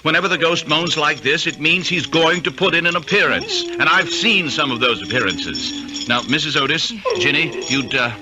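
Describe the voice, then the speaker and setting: An actor playing an apologetic young fiancé in a 1970s radio drama, hesitant yet insistent. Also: male voice, fairly high pitch, animated, normal speed, over music, lightly acted, low-quality recording. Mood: urgent, earnest. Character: earnest, apologetic young fiancé, former castle owner.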